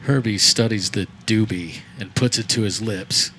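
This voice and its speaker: Low-voiced man narrating live comedy. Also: normal speed, pushed and bright, poor audio. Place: backyard, before a live audience. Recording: live outdoor recording, faint background noise.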